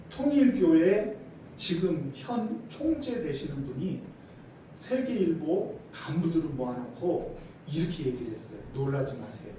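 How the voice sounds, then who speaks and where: A middle-aged pastor from South Korea preaches to his congregation in a high, mellow voice. He keeps asking the congregation questions and moves between loud, emphatic lines and low, quiet asides. He is recorded from a distance in a very echoey church hall with faint background hiss. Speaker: senior pastor. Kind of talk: sermon. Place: church sanctuary.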